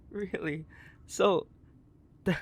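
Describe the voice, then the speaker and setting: A man playing a centuries-old, sadistic vampire in a theatrical horror audio roleplay, condescending and drawling, with a gravelly, breathy voice that slips into whispers. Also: high voice, a little hiss or hum, exaggerated voice. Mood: amused, incredulous. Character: sadistic, centuries-old imprisoned male vampire, mocking and bored. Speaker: voice actor.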